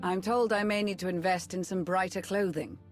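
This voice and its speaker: A woman's voice: lowish voice, a little fast, faint music behind, natural voice. Woman playing cool, wry female adviser. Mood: wry, composed. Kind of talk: scripted video game acting.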